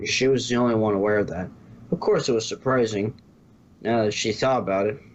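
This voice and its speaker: A man's voice: fairly low pitch, brisk. A man reading a scripted fan fiction story as its narrator. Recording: dull lo-fi, faint background noise.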